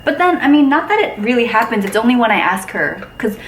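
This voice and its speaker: A woman's voice: a little high, sing-song, fast. A woman hosting a casual chat with a friend, laughing often and saying "like" a lot. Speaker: vlog host. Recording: roomy, distant mic, noisy.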